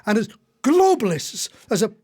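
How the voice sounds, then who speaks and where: A man's voice: high-pitched, very animated, normal speed, dark tone. A man acting in a radio play as a retired husband, an indignant, long-winded, disillusioned old socialist. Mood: indignant, exasperated. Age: older.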